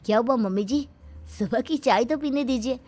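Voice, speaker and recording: A woman's voice: a little high, normal speed, darkish tone, lightly acted. Lady doing a lazy young cartoon damad, whiny n grumbly, music underneath. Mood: whiny excuses. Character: lazy, whining young son-in-law full of excuses. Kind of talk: Hindi cartoon story dialogue. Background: background music.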